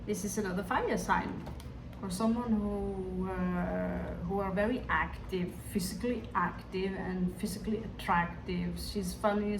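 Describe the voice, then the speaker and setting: A woman with a Hindi-influenced accent, giving a tarot reading to her viewers in a musing, hesitant way that often addresses them directly. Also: mid-range voice. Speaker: tarot reader. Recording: echoey room, distant mic, background noise.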